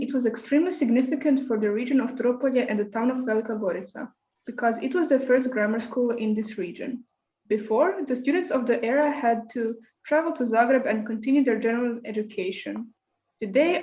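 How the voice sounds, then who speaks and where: A woman's voice: on the high side, a little fast, a little mellow. Woman in an echoey room. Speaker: school presenter. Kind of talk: scripted presentation read aloud. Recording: roomy echo, dull lo-fi sound.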